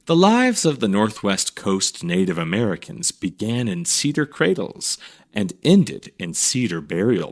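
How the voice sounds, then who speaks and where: A man reading a scripted educational story for children in a measured, clearly phrased read-aloud manner. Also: medium pitch. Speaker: narrator reading a children's magazine article.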